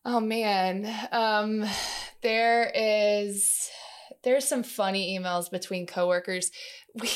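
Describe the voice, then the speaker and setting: A woman's voice: medium pitch, fairly even intonation, takes it slowly, clean tone. A woman being interviewed as an employee, chattily telling informal stories about working life. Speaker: interview guest, long-time company employee. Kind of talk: casual workplace storytelling.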